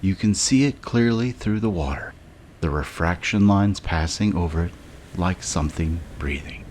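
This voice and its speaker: A man narrating a scripted guided meditation in a measured way, with long pauses between short phrases. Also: low voice, animated, a little mellow, slight background noise.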